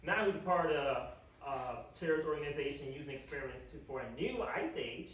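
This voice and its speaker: An actor playing a wicked, scheming male scientist in an animated comedy table read, awkward and evasive, with a halting deadpan delivery. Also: medium pitch, close to an everyday voice. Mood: nervous. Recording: echoey room, distant mic, faint noise.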